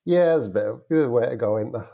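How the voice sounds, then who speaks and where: Yorkshire man, broad dialect, relaxed guest on a chat podcast, self-mocking storyteller. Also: mid-range voice, quick pace, creaky, lo-fi sound. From Yorkshire, England. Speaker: wedding photographer guest.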